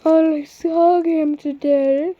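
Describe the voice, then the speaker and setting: A kid putting on a high, drawling hug-hungry monster. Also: fairly even intonation, very slow, muffled recording. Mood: menacing, eager. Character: big, slow, menacing monster eager to hug. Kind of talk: improvised puppet show. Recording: noisy amateur home recording.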